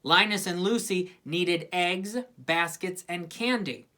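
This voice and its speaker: A woman narrating a children's storybook in an expressive scripted reading style. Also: on the low side, takes it slowly. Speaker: storybook reader.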